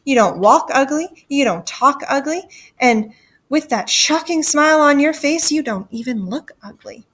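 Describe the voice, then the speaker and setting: A woman's voice: sits high, expressive, normal speed, a bit bright, pitched above the performer's own voice. A woman voicing a scornful, bossy ugly mother in a children's storybook, haughty and scolding.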